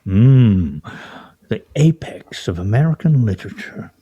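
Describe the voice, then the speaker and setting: Man acting pompous theatrical gent, mock-serious, ornate diction, animated intonation, radio play, dull lo-fi sound. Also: fairly low pitch, a little slow, breathy edge, heavily acted. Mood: wry, grandiose. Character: pompous, sardonic, theatrical man at a scrabble game.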